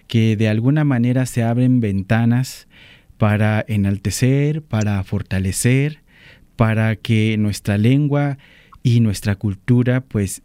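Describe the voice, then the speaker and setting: A man's voice: fairly low pitch, dark tone, clear, resonant tone, no echo. A man interviewing a guest, speaking in a reflective, encouraging way. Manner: reflective, encouraging, with frequent backchannel. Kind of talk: interview conversation.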